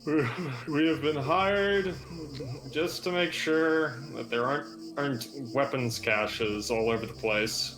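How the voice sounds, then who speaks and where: A man's voice: fairly high pitch, takes it slowly, rough recording. A man role-playing a private military contractor, blunt and swearing, drawling out long lists. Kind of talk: in-character game roleplay.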